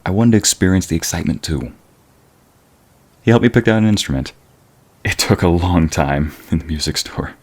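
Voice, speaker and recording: A man acts out a bashful young concert musician for an ASMR romance. The voice is very low and swings widely in pitch. He is courteous and smitten, with a formal, halting politeness. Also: lively intonation, a little mellow. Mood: fond storytelling. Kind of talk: ASMR romantic roleplay audio drama.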